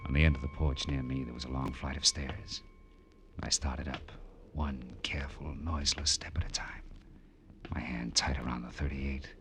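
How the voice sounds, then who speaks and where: An actor playing a tough American private detective in a 1940s radio drama, terse and wisecracking. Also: male voice, a bass voice, little pitch movement, normal speed, background noise. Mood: tense, hushed. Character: tough, hard-boiled male private detective narrating his case.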